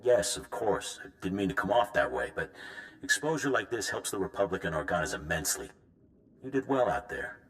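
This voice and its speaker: An upbeat, businesslike news chief from a video game. The voice sits fairly low. He talks in a brisk, organised way. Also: very animated, fairly bright tone.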